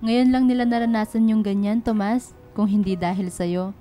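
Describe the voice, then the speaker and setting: A woman's voice: mid-range voice, fairly even intonation, moderate pace, warm tone, close to an everyday voice. A woman playing a grateful, tender mother in a radio drama, speaking earnestly. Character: grateful, tender working-class mother of two girls. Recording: music bed behind the voice.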